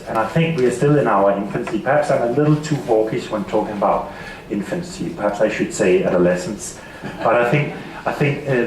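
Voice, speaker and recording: Halting Danish-accented man, echoey hall. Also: fairly low pitch, recorded from a distance. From Denmark. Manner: halting, with many repeated words and fillers. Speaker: seminar speaker. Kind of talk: conference presentation. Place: seminar hall.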